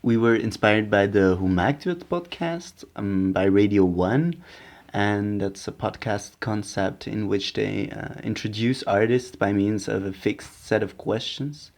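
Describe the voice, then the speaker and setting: A man's voice: on the low side, a little slow, vocal fry, darkish tone, no room sound. A young adult man giving prepared but hesitant interview answers as a podcast maker.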